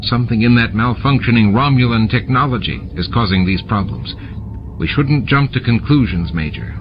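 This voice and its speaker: A man voicing a composed male station commander in an audiobook, measured and authoritative. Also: mid-range voice, dull audio, over music, natural voice. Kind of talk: audiobook character dialogue.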